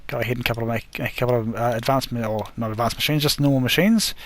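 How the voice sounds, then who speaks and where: A man's voice: medium pitch, quick pace. A man casually talking through his game build step by step, often correcting himself, his voice a little worn. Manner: rambling, self-correcting, talks through each step. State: losing his voice. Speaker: gaming video host. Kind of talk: casual gameplay commentary.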